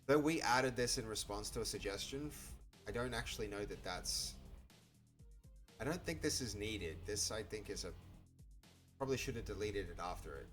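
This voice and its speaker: Low-voiced Dutch man coding live. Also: lively intonation, normal speed, fairly bright tone, soft background music. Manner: thinking aloud, hesitant with many restarts. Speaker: streamer.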